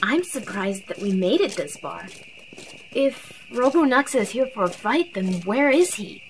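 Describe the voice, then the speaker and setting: A woman voicing a gentle, wary young female guardian who speaks slowly and softly. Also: mid-range voice, very animated. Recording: echoey room with long reverb, faint hiss.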